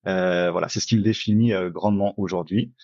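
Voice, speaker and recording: A man's voice: deep voice, fairly even intonation, a little fast, darkish tone, no room sound, dull audio. A man, introducing himself casually as a podcast guest. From Paris, France. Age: 20s. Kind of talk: casual interview self-introduction.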